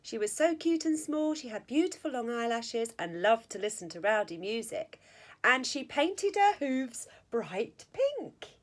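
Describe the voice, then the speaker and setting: A middle-aged woman reading a rhyming children's picture book aloud with an expressive, sing-song delivery. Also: a little high, a little slow. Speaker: school staff storyteller. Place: small school office or staff room, indoors. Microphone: phone or tablet at arm's length.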